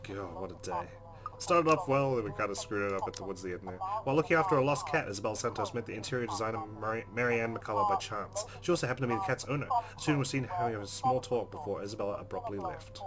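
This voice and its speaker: A man playing a visual novel, reading the narration aloud expressively with casual, wry asides over game music. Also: medium pitch. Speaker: let's player. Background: game music with guitar.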